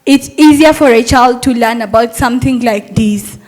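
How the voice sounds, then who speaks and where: A woman's voice: a little high, moderate pace, darkish tone. A young adult woman, a Montessori teacher, giving an earnest, persuasive talk at a summit and asking rhetorical questions.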